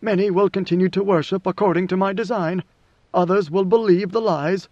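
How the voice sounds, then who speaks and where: A man voicing a grave, ancient god-emperor in a storybook reading, with slow, solemn, oracular pronouncements. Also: high voice, steady tone, darkish tone, dry recording, muffled recording. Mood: firm, measured.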